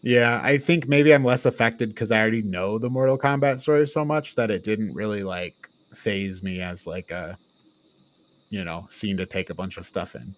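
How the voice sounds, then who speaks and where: A man's voice: lowish voice, normal speed. A man hosting a casual movie review chat, talking in an agreeable, informal way with some casual swearing. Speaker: podcast host.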